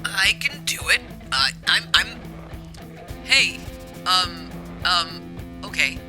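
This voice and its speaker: A self-important, insecure scientist, improvised by a player at a game table, blustering and justifying at every turn. The delivery is halting and defensive, with music clearly playing behind it. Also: mid-range voice, very animated, pushed and bright, exaggerated voice. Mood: flustered.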